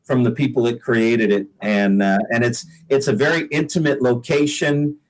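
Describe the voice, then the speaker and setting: A man's voice: medium pitch, steady tone, normal speed, a little mellow, low-quality recording. A middle-aged American man from Oregon chatting casually as an interview guest, easygoing and jokey, on a laptop video call. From Oregon, United States. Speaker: guest singer-songwriter.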